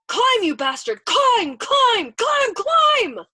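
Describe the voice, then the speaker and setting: A woman voicing a hysterical, terrified man who shouts orders aboard a warplane in an audiobook. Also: very high-pitched, sing-song, very slow, no room sound, studio quality, voice pushed up, exaggerated voice. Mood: urgent shouting. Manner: shrieking, yelping, pleading.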